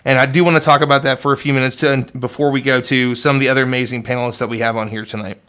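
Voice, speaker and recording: A man's voice: medium pitch, little pitch movement, very fast, a bit bright, smooth. A man hosting a live online panel, talking earnestly and rambling with many restarts. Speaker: host. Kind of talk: casual livestream chat.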